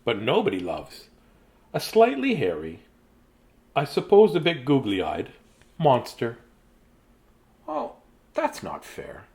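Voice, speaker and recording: A man in his fifties reads children's bedtime picture books in an animated, playful, childlike way, with chatty asides. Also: mid-range voice, takes it slowly, gravelly, pauses often. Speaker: children's storyteller. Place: small decorated room indoors.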